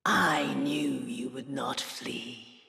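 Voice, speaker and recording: A woman's voice: extremely low pitch, fairly even intonation, gravelly, echoey room, long reverb tail, soft background music, exaggerated voice. A woman playing a vengeful, haughty moon goddess in a video game, cold and menacing, declaiming in a grand theatrical way. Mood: cold menace. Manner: grandiose, slow, dramatic declaiming, swelling into shouts.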